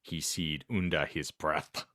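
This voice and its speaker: A man narrating comically misspelled fan fiction as a podcast host, reading the errors deadpan. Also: extremely low pitch, slow, no room sound, studio quality.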